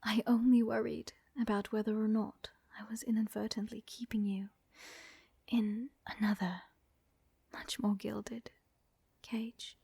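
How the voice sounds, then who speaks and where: A woman acting an elegant, flirtatious and tender vampire countess in a roleplay ASMR, with soft, breathy, genteel delivery that often drops to a whisper. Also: mid-range voice, flat delivery, a little slow, no echo, heavily acted. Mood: earnest, apologetic.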